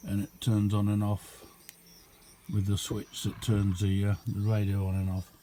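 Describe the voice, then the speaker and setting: A man's voice: on the low side, monotone, measured pace, dark tone, a little hiss or hum. A man giving a casual, unscripted show-and-tell as a hobbyist, rambling along in an understated way. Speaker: hobbyist demonstrating a homemade radio.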